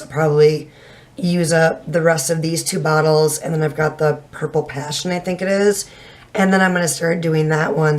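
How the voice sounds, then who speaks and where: Kinda hoarse woman in her 30s, lowish voice, rambling casual review to her camera at home, swears a bit. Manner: frank and chatty, casual swearing. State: slightly hoarse from little sleep. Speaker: beauty vlogger. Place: home room with shelves, indoors.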